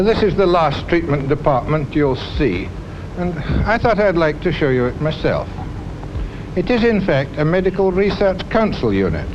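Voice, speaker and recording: A man speaking as a hospital doctor and guide, explaining equipment in a conversational, instructive way for television. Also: a little high. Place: treatment machine control hall. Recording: dull, noisy 1950s television recording.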